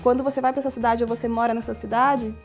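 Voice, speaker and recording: A woman's voice: fairly high pitch, racing, soft background music. Chatty Brazilian woman from São Paulo's interior. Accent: Brazilian Portuguese, São Paulo interior. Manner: talkative, animated, long explanations. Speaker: novelist guest. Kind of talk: TV studio interview.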